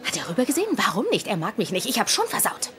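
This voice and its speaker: A woman's voice: medium pitch, big pitch swings, racing, very noisy, close to an everyday voice. An actress playing a neurotic, self-conscious young woman who rambles nervously in a German-dubbed TV comedy. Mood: nervous, smitten.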